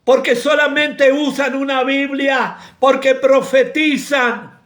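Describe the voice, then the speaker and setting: A pastor with an Argentine accent preaching a sermon in an impassioned, rebuking way that often bursts into shouting. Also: male voice, extremely high pitch, steady tone, slow pace. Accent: Argentine Spanish.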